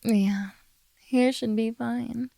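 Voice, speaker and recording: A woman voicing a possessive, doting yet menacing beast-girl captor in a soft, coaxing ASMR roleplay. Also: a little high, steady tone, vocal fry, slight background noise, full character voice. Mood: coaxing, soothing. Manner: slow, coaxing, quietly domineering.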